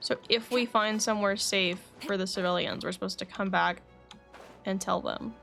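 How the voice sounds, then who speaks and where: Woman game streamer, slightly creaky voice. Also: mid-range voice, normal speed. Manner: thinking aloud, animated exclamations. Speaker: livestreamer playing a game. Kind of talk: casual gaming stream chat, reading quest dialogue aloud. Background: faint game music.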